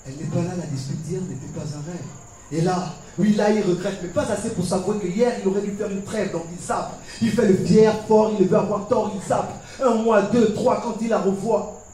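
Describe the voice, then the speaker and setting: A high-pitched male voice performs spoken-word poetry with theatrical flair. He builds the story through rhythmic repetition and deliberate pauses. The recording is very echoey and picked up from a distance, with faint noise behind it. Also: warm tone. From Gabon. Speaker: slam poet. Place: live poetry competition stage.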